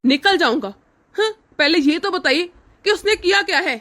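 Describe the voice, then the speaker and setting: A woman's voice: very high-pitched, lively intonation, moderate pace, bright, forceful tone, exaggerated voice. A woman voicing a principled young son in a cartoon family drama, indignant and pleading. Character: upright young grown son, defending his mother.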